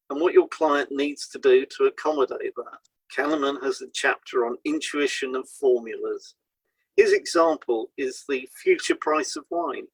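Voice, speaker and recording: A man co-hosting an educational podcast, reading scripted explanations in a measured, explanatory way. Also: mid-range voice, creaky, slightly rough, dry recording, rough recording. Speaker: podcast co-host and hypnotherapist.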